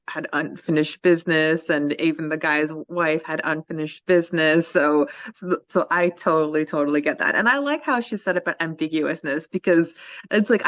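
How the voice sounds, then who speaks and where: A woman's voice: low voice, fairly bright tone, no room sound, dull audio. A woman co-hosting a casual podcast who rambles and searches for words as she chats. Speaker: podcast co-host. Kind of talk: casual chat.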